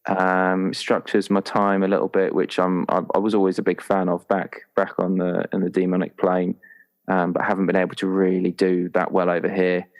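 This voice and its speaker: Low-voiced man chatting haltingly. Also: completely flat delivery. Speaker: tabletop roleplay player. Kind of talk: casual chat, creating a game character.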